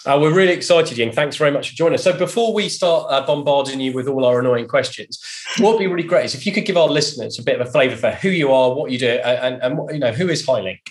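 A man's voice: medium pitch, fast. A man co-hosting a podcast, introducing the topic and guest in a conversational interview style. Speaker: podcast co-host.

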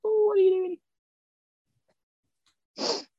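A woman's voice: very high voice, little pitch movement, very slow, dark tone, dry recording, muffled recording. A woman co-hosting a podcast in casual chat, rambling and chatty, laughing often. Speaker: podcast co-host.

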